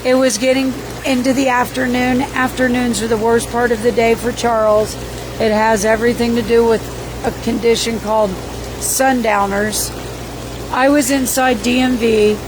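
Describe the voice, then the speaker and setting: Hoarse woman, fairly high voice, profane rambling livestream host; noisy recording. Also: flat delivery, moderate pace. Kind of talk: casual livestream recap reading viewer comments. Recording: noisy background.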